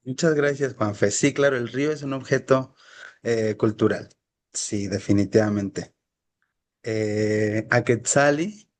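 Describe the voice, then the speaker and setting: A man's voice: mid-range voice, slow pace. A man moderating a workshop on a video call, briefly thanking each participant and calling the next. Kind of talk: moderating introductions.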